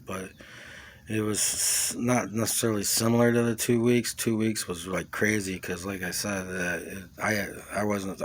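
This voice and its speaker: Low-voiced man rambling reflectively, some background noise. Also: fairly bright tone. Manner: halting and reflective, with repeated words and trailing asides. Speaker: vlogger sharing a personal testimony. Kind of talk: rambling, informal monologue recounting personal experiences.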